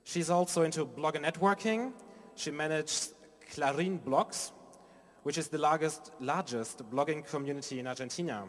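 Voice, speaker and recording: A man's voice: fairly high pitch, moderate pace. A man co-hosting an awards show, introducing guests and apologizing when he stumbles over names. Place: large hall. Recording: hall reverb with music and audience.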